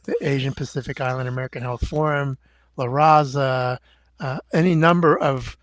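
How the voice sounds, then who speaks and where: An older man, a doctor guest, answering in a measured, halting way with many fillers. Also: medium pitch, steady tone, no echo. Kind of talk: interview answers.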